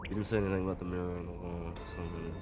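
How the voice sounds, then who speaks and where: A man's voice: low voice, level tone, very fast. A man streaming a game, reacting in a profane, joking way in casual commentary. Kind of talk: casual live gameplay commentary. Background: game music.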